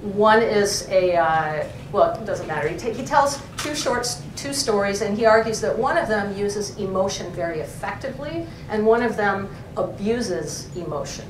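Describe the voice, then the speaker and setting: A woman of middle pitch discusses her reading in a relaxed, self-interrupting way at a library talk. She sounds roomy and far from the mic, with faint noise behind her. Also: moderate pace, few pauses. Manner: chatty, self-interrupting, many fillers. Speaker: professor, co-editor. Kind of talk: conversational academic talk. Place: library event room. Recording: echoey room, distant mic, faint noise.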